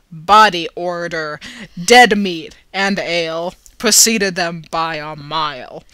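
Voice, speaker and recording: Bright, close-up theatrical storybook reader. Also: medium pitch, no echo. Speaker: amateur reader. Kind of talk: dramatic reading of a fantasy story, narrated.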